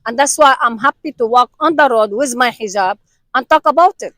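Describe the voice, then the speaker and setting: A woman's voice: on the high side, brisk, a bit bright, no room sound. A woman answering interview questions earnestly, repeating words as she searches for what to say.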